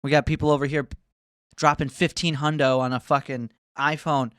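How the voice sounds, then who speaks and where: A man's voice: fairly high pitch, flat delivery, a little fast, a bit bright, no echo, clean studio sound. A man in his twenties ranting casually to camera, swearing often and wandering between mocking asides. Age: 20s. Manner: profane, rambling, swears often. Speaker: vlogger.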